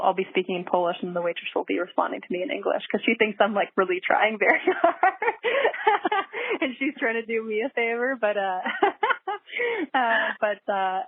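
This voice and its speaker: A woman's voice: mid-range voice, dry recording. Young woman guest, casual and chatty, restarts often; dry, lo-fi phone line. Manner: chatty, many fillers and restarts, laughs easily. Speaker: guest pilot. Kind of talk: casual podcast conversation.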